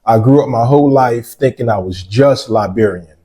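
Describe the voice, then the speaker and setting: A man in his forties, a real estate developer, telling his story in a relaxed interview and often checking in with "right?". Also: mid-range voice, moderate pace. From United States. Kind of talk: casual interview.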